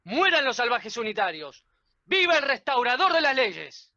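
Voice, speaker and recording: A woman's voice: fairly high pitch, sing-song, normal speed, sharp tone, dry recording, dull audio, full character voice. A woman playing an imposing, cold and commanding male judge in a radio play.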